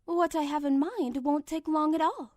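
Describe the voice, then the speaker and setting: A man's voice: extremely high pitch, normal speed, darkish tone, pitched above the performer's own voice. A man voicing a refined fashionista mare who speaks in a posh, fussy manner. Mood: prim.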